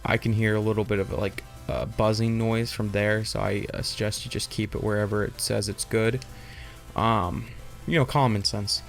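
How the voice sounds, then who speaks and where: A man's voice: fairly low pitch, little pitch movement, normal speed, creaky, faint music behind. A man hosting a casual, unscripted tutorial, explaining in an informal, rambling and hesitant way. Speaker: tutorial host.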